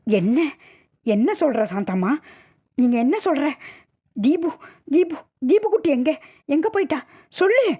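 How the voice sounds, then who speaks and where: A woman's voice: mid-range voice, steady tone, normal speed, darkish tone, lightly acted. A woman voicing a doting, childlike young mother who pleads emotionally and repeats her words in a dramatised audio story. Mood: alarmed.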